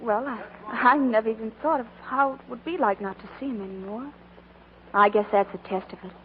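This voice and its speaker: Young, loyal working girl played by an actress, plainspoken American, higher voice, 1940s radio drama on a muffled old broadcast. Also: brisk, slight background noise, lightly acted. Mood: wistful, sincere. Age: young adult.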